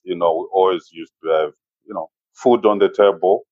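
A man in his forties with a Zimbabwean accent, speaking as an interview guest in a measured, halting way and often saying "you know". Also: low-pitched, no echo, narrow, tinny audio. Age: 40s. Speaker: interview guest, safari business owner. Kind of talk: conversational interview, personal recollection.

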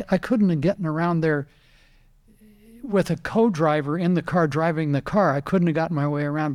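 Older male guest, slightly higher voice, lively anecdotes, repeats words as he talks. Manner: animated anecdotes, quoting others, repeats words. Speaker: guest, retired racing driver. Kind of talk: casual storytelling interview.

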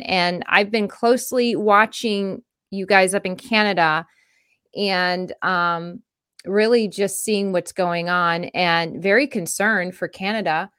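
A woman's voice: medium pitch, fairly bright tone, smooth, no echo. A woman host opens her show in an upbeat, chatty way, scattering ums through her sentences. Her mid-range voice is recorded dry and close over a video call. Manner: upbeat, chatty, with many um fillers. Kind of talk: casual interview hosting.

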